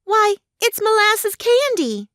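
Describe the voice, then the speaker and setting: A high, very animated little girl's voice, acted by a woman, from a storybook. She sounds curious and polite, speaking up plainly with childlike wonder. Her words come slowly. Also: no echo, studio quality. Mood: surprised. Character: Trot, curious, polite, outspoken little girl.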